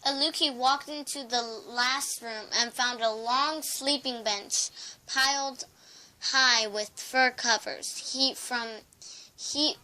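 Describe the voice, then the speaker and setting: A young woman narrates a children's storybook. Her voice is high and bright, and she reads slowly and carefully, sometimes repeating a word. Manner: careful reading with stumbles and repeated words. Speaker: storybook reader.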